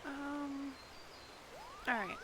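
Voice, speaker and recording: Slow-talking woman streamer. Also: high voice, level tone, noisy background. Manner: murmured asides between reading dialogue aloud. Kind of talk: casual gameplay commentary.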